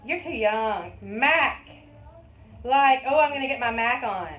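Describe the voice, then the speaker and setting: A woman in her thirties, a hairstylist, talks through each cut in a chatty teaching voice, a little higher than most. She is recorded on a phone in an echoey salon, and the sound is thin and phone-like with a faint hiss behind it. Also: expressive, measured pace. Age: 30s. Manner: chatty, explains step by step while working. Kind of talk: hair-cutting tutorial. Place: hair salon. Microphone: phone camera.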